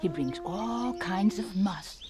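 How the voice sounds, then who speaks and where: A German farm wife in broken English. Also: female voice, mid-range voice, lively intonation, slow pace. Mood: gentle, proud. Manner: broken English, warm and fussing. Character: kindly older German farm wife, gentle and proud. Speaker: actress in radio drama. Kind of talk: 1940s radio drama acting.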